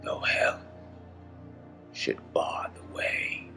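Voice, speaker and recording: A man reading poetry dramatically, swinging between hushed whispers and forceful lines. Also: extremely slow, music in the background. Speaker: poem reader.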